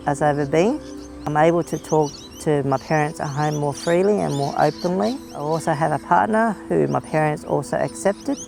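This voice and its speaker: A young man telling his own story in a documentary interview, speaking in a soft, earnest and reflective way. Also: fairly high pitch, vocal fry. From Australia, family from Vietnam.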